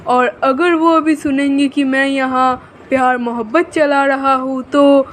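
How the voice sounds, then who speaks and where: Man acting high-pitched, devoted young lover. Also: steady tone, measured pace, a bit bright, a little hiss or hum. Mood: earnest, affectionate. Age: young adult. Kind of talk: dubbed cartoon story dialogue.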